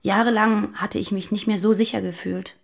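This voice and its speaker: A woman playing a fearful, guarded former militant on the run in a naturalistic radio drama, confiding and halting. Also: mid-range voice, steady tone, vocal fry.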